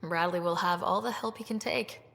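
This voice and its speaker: Creaky-voiced, sincere young leader. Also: female voice, lowish voice, moderate pace, fairly bright tone. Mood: sympathetic. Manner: sincere, inquisitive. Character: sincere, supportive young woman leader, upbeat explorer. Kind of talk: video game dialogue acting. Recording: long reverberant hall sound.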